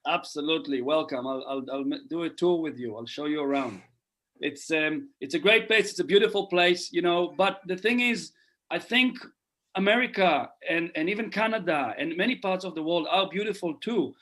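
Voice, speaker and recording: Guest role: middle-aged man, earnest, thoughtful repetitions, slightly high voice. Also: normal speed, fairly bright tone. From Israel. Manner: earnest, repeats phrases while thinking. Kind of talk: casual podcast conversation.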